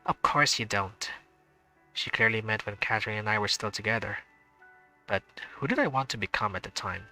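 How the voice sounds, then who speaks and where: A man's voice: fairly low pitch, a little fast, pushed and bright, soft background music, low-quality recording. A man streaming a visual novel, reading the narration aloud and adding chatty, hesitant asides. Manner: chatty asides, hesitant with fillers when unsure. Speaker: gaming streamer.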